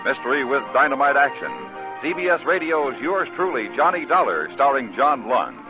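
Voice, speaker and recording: A man's voice: mid-range voice, very animated, normal speed. An American man reading scripted announcements in a polished, emphatic 1950s radio style. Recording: muffled old radio recording with music.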